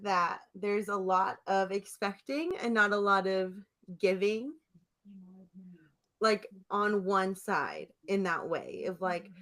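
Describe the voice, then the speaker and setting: A woman's voice: mid-range voice, expressive, takes it slowly, a bit bright. A woman co-hosting a podcast in a casual chat, talking in a rambling, teasing way. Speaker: podcast co-host.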